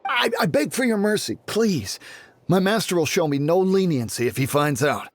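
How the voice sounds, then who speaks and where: An actor playing an officious gatekeeper guard in a video game, formal and easily flustered. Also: male voice, high voice, animated, moderate pace, slight background noise. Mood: panicked, pleading. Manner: formal, stammering when pressed. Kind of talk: dramatic video game acting. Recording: loud background game music.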